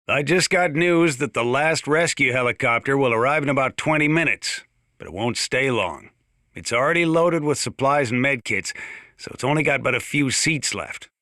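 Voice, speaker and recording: An actor playing a gruff, plain-spoken old small-town mountain sheriff with an American accent, talking over the radio in a video game. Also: male voice, mid-range voice, lively intonation, bright, forceful tone, dry recording, clean studio sound. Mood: weary, grave. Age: older. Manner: folksy, plain-spoken, drawn-out hesitations. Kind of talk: in-game radio broadcast monologue.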